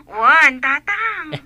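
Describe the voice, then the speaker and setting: A woman's voice: high voice, bright, forceful tone, no echo, exaggerated voice. A woman with an Ilocano accent playing a grateful young woman abroad who calls home, in a radio drama.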